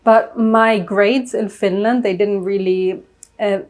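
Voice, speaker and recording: A woman's voice: medium pitch, steady tone, takes it slowly, a little mellow. A woman in her thirties who grew up in Spain, chatting as an interview guest, laughing often between her sentences. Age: 30s. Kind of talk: casual interview conversation.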